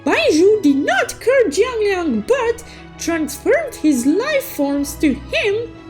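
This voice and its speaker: A woman voicing a cute, excitable young unicorn who recaps a story with playful, theatrical exclamations. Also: very high-pitched, slow, soft background music, heavily acted. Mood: intrigued. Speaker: storytelling host. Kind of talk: animated story recap narration.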